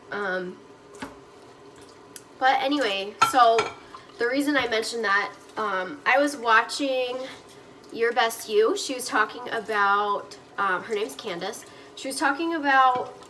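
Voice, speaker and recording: A young woman with a naturally quiet, soft voice, chatting casually and rambling with lots of ums while she does the dishes at home, talking into a phone propped on the windowsill. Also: a little high, background noise. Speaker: vlogger and online reseller. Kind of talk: casual vlog chat while doing dishes. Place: home kitchen at the sink, water running.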